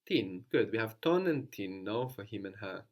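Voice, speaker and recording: A man's voice: fairly low pitch, expressive, moderate pace. A man teaching a language lesson, patiently prompting his student with questions and repeating the answers back.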